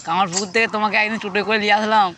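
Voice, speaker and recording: Prank caller talking fast, keeps repeating questions, loud noise all over the recording. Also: mid-range voice, flat delivery. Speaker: prank caller on a comedy video channel. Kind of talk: casual prank phone call. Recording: noisy handheld phone video with clicks.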